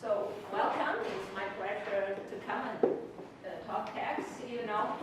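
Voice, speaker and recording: A woman's voice: mid-range voice, steady tone, a little slow. A middle-aged Portuguese woman, a native Portuguese speaker with an accent, giving a chatty, personal tax talk to students with many fillers. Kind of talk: informal lecture to students. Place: campus lecture room. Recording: echoey hall from far off with noise.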